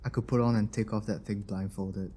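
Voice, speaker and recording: A man playing a grumbling but soft-hearted robotics engineer in a scripted audio drama. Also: fairly low pitch, steady tone, a little fast, slightly rough. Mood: matter-of-fact. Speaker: voice actor in an audio roleplay.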